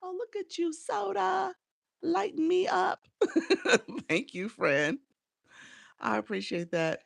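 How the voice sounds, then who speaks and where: A woman's voice: very high-pitched, expressive, slow, gravelly, dry recording, studio quality. A woman coaching on a casual livestream, chatty and encouraging as she greets viewers by name, sounding a bit congested and nasal from a cold. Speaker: live streaming coach.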